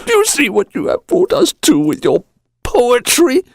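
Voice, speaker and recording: A man's voice: very high voice, huge pitch swings, moderate pace, creaky, no echo, clean studio sound, pitched above the performer's own voice, exaggerated voice. A man voicing a meek, flustered haberdasher of sixty, weak and whining, in an audio drama. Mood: aggrieved. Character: meek, flustered sixty-year-old haberdasher husband, aggrieved. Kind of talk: dramatised short story acting.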